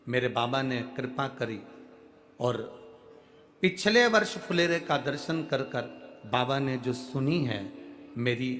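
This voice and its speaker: An Indian man from Madhya Pradesh giving a devotional sermon, reading a listener's letter aloud, emphatic and alternating loud declarations with hushed lines. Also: mid-range voice. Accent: Madhya Pradesh Hindi. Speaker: katha preacher. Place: large outdoor religious gathering.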